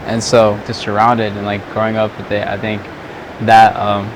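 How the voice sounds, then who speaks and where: A man's voice: on the low side, completely flat delivery, moderate pace. A young man from Southern California, a vlogger and photographer, chatting loosely to the camera outdoors at sunset, rambling with lots of "like"s. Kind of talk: casual chat to camera. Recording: outdoor noise with traffic.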